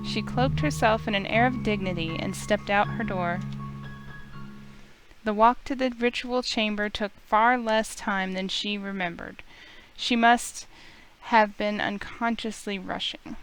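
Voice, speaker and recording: A woman's voice: medium pitch, steady tone, a little slow, clean tone, poor audio. A woman streamer reading a visual novel's narration aloud like a storybook during a playthrough. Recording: loud music under the voice. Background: game music.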